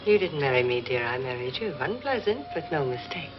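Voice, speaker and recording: An actress playing the cool, anxious wife of a jealous millionaire in a 1950s horror film, poised and commanding. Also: female voice, rumbling low, very animated, a little fast. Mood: acid, defiant. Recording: dull vintage film soundtrack with music.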